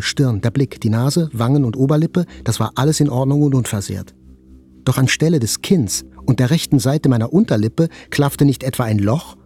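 An actor playing an attack survivor, telling events in a sober, precise, scripted literary narration. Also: male voice, medium pitch, darkish tone, breathy edge, lightly acted.

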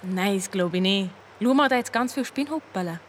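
A woman's voice: mid-range voice, lively intonation, fairly quick, noisy background, natural voice. A female performer with a Swiss German accent playing a bold, suspicious young girl detective in a children's radio play. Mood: curious. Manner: blunt, questioning.

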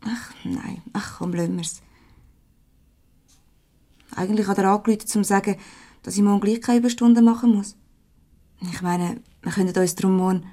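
An actress with a Swiss accent playing a chatty, easily exasperated young secretary in a radio comedy. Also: female voice, medium pitch, steady tone, normal speed, long pauses, dark tone, close to an everyday voice. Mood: hurt, sulky.

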